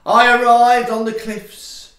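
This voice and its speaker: An actor playing a touchy, grandiloquent Victorian gentleman lodger in a farce audio play. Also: very high voice, little pitch movement, takes it slowly, heavily acted. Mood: melodramatic. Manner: theatrical, grandiloquent. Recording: echoey room.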